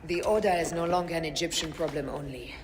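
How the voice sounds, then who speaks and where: An actress playing a hardened, determined woman warrior in a video game cutscene, controlled and low. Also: quick pace, slight background noise, natural voice. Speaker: game character. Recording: game audio under music.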